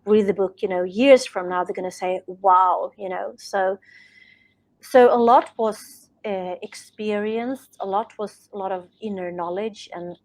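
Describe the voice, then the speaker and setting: A woman's voice: medium pitch, darkish tone, low-quality recording. A woman from Sweden with a Swedish accent, not speaking her native language, talking earnestly as a podcast guest and often restarting her sentences. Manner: earnest, searching for words, many restarts. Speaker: guest author. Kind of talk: podcast interview.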